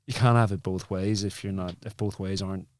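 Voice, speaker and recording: A man's voice: low-pitched, steady tone, a little fast, darkish tone. A man hosting a casual interview, talking bluntly and emphatically, repeating himself and checking that the listener agrees. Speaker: podcast host, acting coach. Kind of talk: casual chat.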